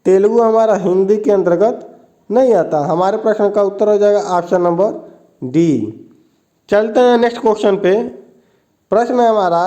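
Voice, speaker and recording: A man's voice: high-pitched, warm tone. A man teaching an exam prep lesson, reading each question and its options, then repeating the answer back as a question. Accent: Hindi, north Indian.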